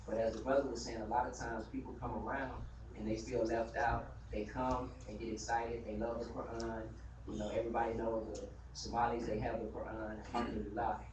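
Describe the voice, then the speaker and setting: A man's voice: medium pitch, flat delivery, brisk. A man speaking earnestly and conversationally at a mosque gathering, leaning on "you know" as he talks. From United States. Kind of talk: impassioned open discussion. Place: mosque hall. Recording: echoey hall from far off, noisy live stream.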